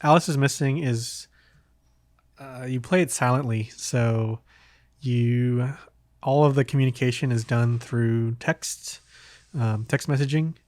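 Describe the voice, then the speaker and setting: A man hosting a podcast, talking casually about games in a hesitant, halting way. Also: mid-range voice, darkish tone, dry recording, clean studio sound. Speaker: podcast host.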